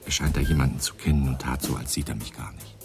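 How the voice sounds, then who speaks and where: A male actor playing a sullen, taciturn young street cop in a radio crime play, laconic and deadpan. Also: very deep voice, fast, vocal fry, music in the background. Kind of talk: German radio crime drama acting.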